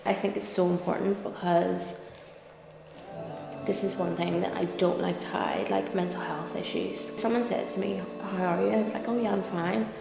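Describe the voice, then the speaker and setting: Young Northern Irish woman from Belfast, slightly low pitch, candid and conversational, reverberant room. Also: fairly even intonation, moderate pace. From Belfast, Northern Ireland. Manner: conversational, frequent "like" and "you know". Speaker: interview guest, lawyer and content creator. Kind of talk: candid personal interview. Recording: echoey reverberant room, clear music behind. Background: music.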